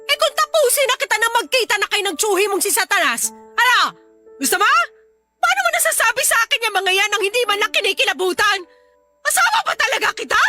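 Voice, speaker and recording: A woman's voice: extremely high pitch, very animated, fast talker, sharp tone, faint music behind, full character voice. A woman playing a fed-up, pregnant young Filipino wife and mother in a radio drama, scolding in an exasperated, melodramatic way. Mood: angry, hurt.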